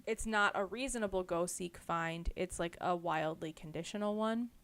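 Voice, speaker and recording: A woman's voice: fairly low pitch, moderate pace, fairly bright tone. A woman with an American accent chatting casually and emphatically as a podcast co-host. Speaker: book club podcast co-host. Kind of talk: casual chat.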